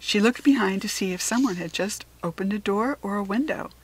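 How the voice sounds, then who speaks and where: An American woman narrating a children's story expressively, with deliberate dramatic emphasis on key words. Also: mid-range voice, breathy edge, low-quality recording. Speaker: storybook reader.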